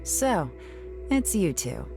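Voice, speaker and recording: Low-voiced, cool, teasing scout. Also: female voice, very animated, slow pace, over loud music, exaggerated voice. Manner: dry, confident. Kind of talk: video game voice acting.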